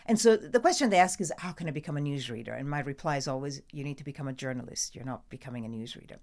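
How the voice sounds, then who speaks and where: A woman's voice: low-pitched, expressive, racing, darkish tone. A middle-aged Italian woman, a newsreader guest, talking casually and emphatically with an Italian accent, often laughing. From Italy. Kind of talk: casual interview chat.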